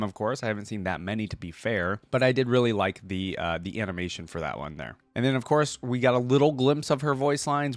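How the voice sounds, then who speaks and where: A man's voice: fairly low pitch, dry recording. A man hosting a reaction video, commenting casually on a game and thinking aloud in an informal way. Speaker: reaction video host, gamer.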